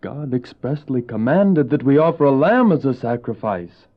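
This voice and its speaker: An actor playing Abel, a pious, earnest younger brother and shepherd who speaks formally and preachily in a religious audio drama. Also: male voice, mid-range voice, animated, normal speed. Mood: earnest admonishing. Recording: dull old cassette audio drama.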